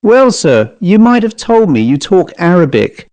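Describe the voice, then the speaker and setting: A man's voice: a little high, sing-song, a little slow, mellow, no room sound. A man voicing a self-possessed Cockney manservant with a controlled, wistfully reproachful manner in an audiobook story.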